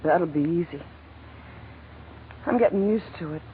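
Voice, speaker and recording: An actress playing a frightened but defiant young woman on the run in an old-time radio crime drama, speaking earnestly and haltingly. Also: fairly low pitch, close to an everyday voice. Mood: earnest, uneasy. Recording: tinny, noisy vintage radio recording.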